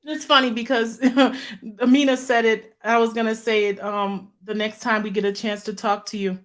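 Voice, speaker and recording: A woman's voice: a little high, level tone, normal speed, a bit bright. A woman hosting a live online talk show, chatty and upbeat as she addresses viewers. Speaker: host.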